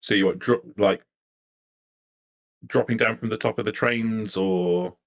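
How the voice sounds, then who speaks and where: A man acting as game master, narrating a tabletop game in a halting, improvised way and repeating phrases as he thinks. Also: fairly low pitch, steady tone, vocal fry.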